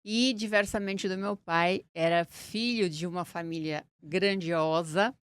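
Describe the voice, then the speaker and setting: A middle-aged woman from Portugal, a lawyer speaking as an interview guest in an earnest, deliberate way. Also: lowish voice, fairly bright tone, clean tone, no room sound, clean studio sound. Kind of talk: interview conversation.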